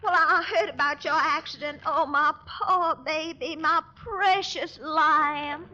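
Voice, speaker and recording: An actress playing a gushing, coquettish fiancée in a 1940s radio comedy, cooing and dramatic. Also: female voice, high voice, fairly even intonation, slow, background noise. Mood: fussing, anxious. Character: gushing, coy, sugary fiancée fussing over her sweetheart. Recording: dull band-limited 1940s radio recording.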